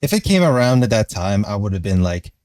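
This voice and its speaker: A man with a fairly low voice talks through casual commentary, recorded dry and close with little room sound. He rambles hesitantly, working out his thoughts as he goes like an online video commentator. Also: sing-song, a little fast, darkish tone, no echo.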